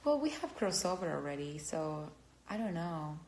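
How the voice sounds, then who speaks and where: A woman from Cuba with a Cuban Spanish accent, chatting casually on a phone livestream, halting and gushing as she answers fans. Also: low voice, big pitch swings, darkish tone, slight background noise. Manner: halting, searching for words, gushing.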